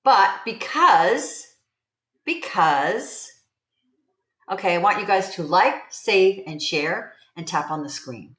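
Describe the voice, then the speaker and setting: A woman's voice: mid-range voice, lively intonation, slow, fairly bright tone. Chatty woman in her seventies, roomy sound. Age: 70s. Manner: chatty, rambling, repeats key phrases. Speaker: livestream host promoting online income. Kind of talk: casual livestream talk to viewers.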